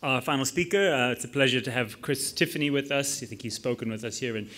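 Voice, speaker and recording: A man moderating a conference session, summarizing the earlier talks with halting fillers and introducing the next speaker. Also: mid-range voice, fairly bright tone, slight background noise. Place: conference stage.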